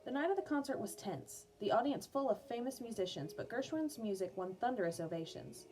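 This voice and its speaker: A woman reading an educational biography aloud for children as a music teacher, in a clear, measured storytelling manner. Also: on the high side, animated, a little mellow. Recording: music playing behind the voice. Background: background music.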